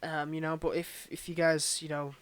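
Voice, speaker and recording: A man's voice: on the high side, flat delivery, normal speed, a bit bright, clean tone. A man giving a casual, unscripted update to his listeners as channel host, in a subdued, hesitant, rambling way. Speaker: ASMR voice performer and channel host.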